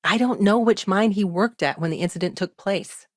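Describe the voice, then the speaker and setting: An actress voicing a busy, pragmatic, principled woman lawyer in a video game, speaking in a businesslike, frank, measured conversational way with dry asides. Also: medium pitch, no echo, natural voice. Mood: matter-of-fact.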